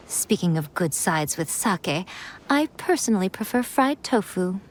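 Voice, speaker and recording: A woman's voice: medium pitch, very animated, slow pace, slight background noise. A woman playing a sly, elegant, teasing lady in an anime-style game, with a playful, coy drawl.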